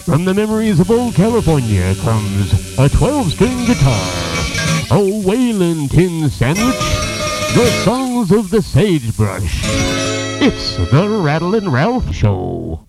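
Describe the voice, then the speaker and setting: A man's voice: high voice, wildly swooping pitch, slow pace, loud music behind the voice, low-quality recording. A man announcing a radio show in a dramatic, scripted intro style.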